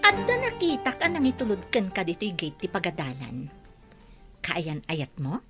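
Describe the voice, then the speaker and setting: A woman's voice: fairly low pitch, big pitch swings, moderate pace, over music. A woman with an Ilocano accent acting a scheming, meddling character in a comedy radio drama. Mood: accusing.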